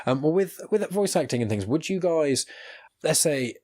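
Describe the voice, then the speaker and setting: A man's voice: a little high, lively intonation, normal speed, a little mellow, no room sound. A man hosting a casual conversational interview, talking in a rambling way through half-finished questions. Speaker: podcast host interviewing guests.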